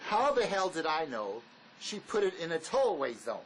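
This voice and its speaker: An actor playing a wary, blunt escaped convict in a drama, speaking in an argumentative, insistent manner. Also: male voice, a little high, big pitch swings, normal speed, slight background noise, rough recording. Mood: exasperated, arguing. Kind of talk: dramatic dialogue reading.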